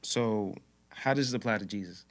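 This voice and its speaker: A man from Haiti co-hosting a podcast in casual chat, relaxed and rambling with lots of fillers. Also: on the low side, normal speed. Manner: laid-back, rambling with fillers. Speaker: podcast co-host. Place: Dallas.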